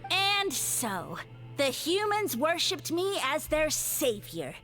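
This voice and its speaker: A self-important fungus ruler who speaks in lofty, theatrical tones and treats everyone as beneath him. The voice sits high and swings widely in pitch, with faint game music underneath. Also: female voice, big pitch swings, takes it slowly, bright, forceful tone, heavily acted. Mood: grandiose. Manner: haughty, theatrical, condescending. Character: pompous grandiose mushroom lord, villainous. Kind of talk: game voice acting.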